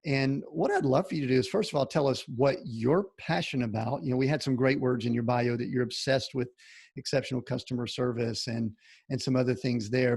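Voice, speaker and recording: A man's voice: mid-range voice, creaky, a little mellow, breathy edge, no echo, clean studio sound. A man hosting a podcast, asking chatty, rambling questions in a folksy way. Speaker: podcast host. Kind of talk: conversational interview hosting.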